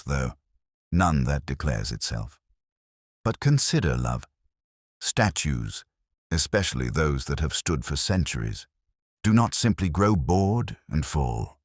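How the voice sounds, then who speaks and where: A man voicing a mild, wry, thoughtful police inspector in an audio mystery, measured, formal and dryly understated. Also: very deep voice, no echo, studio quality, full character voice.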